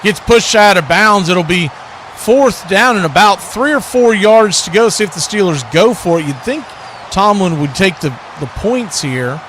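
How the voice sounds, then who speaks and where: Bright-voiced male football play-by-play announcer. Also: high voice, expressive, measured pace, slight background noise. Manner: clipped, telegraphic phrasing. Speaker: play-by-play host of a football watch party. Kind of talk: live sports play-by-play commentary.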